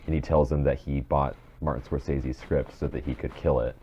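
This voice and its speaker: Very deep-voiced man, rambling podcast host. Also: steady tone, normal speed. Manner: rambling, repeats and restarts phrases. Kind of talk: casual chat about film and TV. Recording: dull muffled mic with faint noise.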